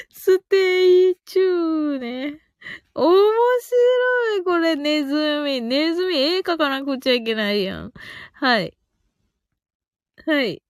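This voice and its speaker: High-voiced woman chatting on a live stream. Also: animated, drawn-out words, pauses often, no room sound, studio quality. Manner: giggly, cheerful, often thinking aloud. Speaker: host of a live audio stream. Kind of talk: casual live-stream chat, reading out listener comments.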